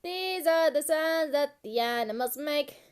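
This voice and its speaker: A woman delivering a children's animal-sounds rhyme in a simple, repetitive, deliberate sing-song. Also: high voice.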